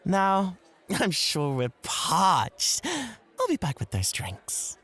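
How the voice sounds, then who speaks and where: Man acting flirtatious male host, breathy husky voice, very animated intonation, coy, playful, competitive, ASMR roleplay. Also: high voice, very slow, full character voice. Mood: playful rivalry.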